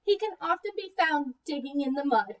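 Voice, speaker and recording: High-pitched woman reading to young children. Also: distant mic. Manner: expressive, deliberate teacher talk aimed at young children. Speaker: teacher reading a picture book aloud to children. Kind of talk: children's read-aloud with questions to the listeners.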